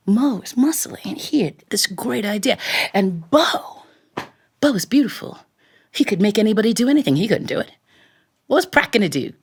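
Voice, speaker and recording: A woman telling a story live as a storyteller, with a theatrical, expressive delivery. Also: mid-range voice, slightly rough. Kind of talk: live storytelling performance for an audience.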